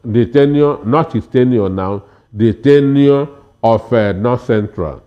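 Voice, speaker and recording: A man with a Nigerian accent giving political commentary haltingly, with stammers and many hesitations. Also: medium pitch, lo-fi sound. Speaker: political analyst panel guest.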